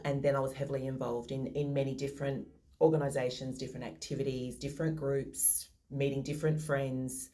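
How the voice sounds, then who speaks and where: A woman's voice: a bass voice, mellow. A modest, thoughtful woman answering interview questions over a video call. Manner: modest, thoughtful, some restarts.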